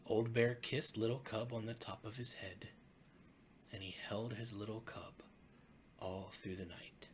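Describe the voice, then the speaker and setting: A man, a pastor, narrating a bedtime story for children in a gentle, expressive way with deliberate phrasing. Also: lowish voice, a little hiss or hum.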